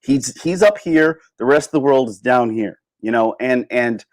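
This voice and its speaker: A man giving a casual, opinionated livestream rant, sardonic and emphatic, with halting starts and repeated phrases. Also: medium pitch. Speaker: livestream host.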